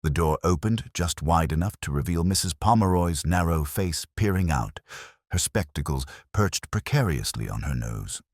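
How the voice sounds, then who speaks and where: A man's voice: low voice, lively intonation, moderate pace, dry recording, studio quality. A man reading scripted story narration in a formal, literary storytelling manner.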